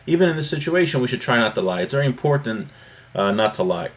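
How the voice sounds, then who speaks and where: Guy teaching religion class, reads a bit of text then explains it casually, stumbles and repeats himself, medium pitched voice. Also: very animated. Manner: halting, repetitive, conversational. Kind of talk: informal lesson, reading aloud and explaining a text.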